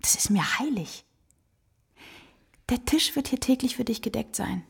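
A woman's voice: on the high side, unhurried, gravelly, breathy edge, heavily acted. An actress playing a brazen, generous kept woman in a radio drama, speaking in a knowing, theatrical way. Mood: impassioned, generous. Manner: expressive, knowing, frank.

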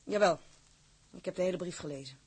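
An actress playing a bold, loyal captain's wife in a Dutch period drama, blunt and defiant in manner. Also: female voice, fairly low pitch, fairly quick, a little mellow, slightly rough. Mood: blunt, firm.

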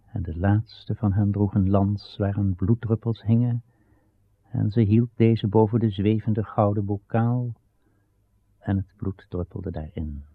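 A man narrating a radio drama as a solemn storyteller, measured and grave with a gravelly voice. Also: fairly low pitch. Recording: tinny, band-limited old radio recording.

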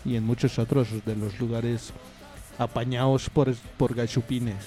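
A man's voice: medium pitch, flat delivery, measured pace, mellow. A man speaking, hosting a casual community radio show with a rambling, chatty manner full of fillers and asides. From Mexico. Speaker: radio host. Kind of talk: casual on-air chat. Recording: radio studio with faint music behind.

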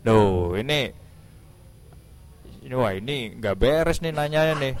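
A man's voice: mid-range voice, expressive, slow pace, a little hiss or hum. A male radio host with a Javanese accent from East Java. His talk is colloquial and loose, like a casual interview. He keeps nudging guests to join in and speak. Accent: Javanese-influenced Indonesian, East Java.

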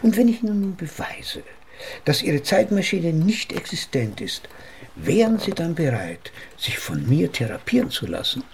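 Gravelly, formal, sceptical psychotherapist. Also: male voice, on the high side, sing-song, exaggerated voice. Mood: patient, condescending. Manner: measured, formal, pedantic. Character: male psychotherapist, polite, sceptical, ponderously formal. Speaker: actor in a radio play. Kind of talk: German radio drama dialogue and narration.

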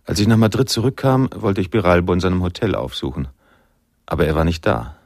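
A man's voice: sits low, brisk, vocal fry, darkish tone, no room sound, studio quality. An actor playing a reflective, world-weary male narrator in a noir radio drama, telling the story in literary prose. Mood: reflective, melancholy.

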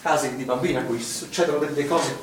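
A man's voice: medium pitch, fairly even intonation, fast talker, natural voice. An actor playing an anxious, accusing father who is protective of his children, in an insistent stage-drama style. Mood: uneasy, confiding. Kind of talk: stage theatre acting. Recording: echoey room, distant mic, background noise.

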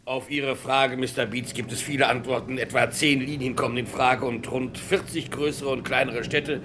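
A man's voice: mid-range voice, steady tone, moderate pace, natural voice. Guy playing an airport director, polite and businesslike, mid voice, hissy old radio tape. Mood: obliging. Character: obliging middle-aged male airport director. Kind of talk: vintage radio drama acting.

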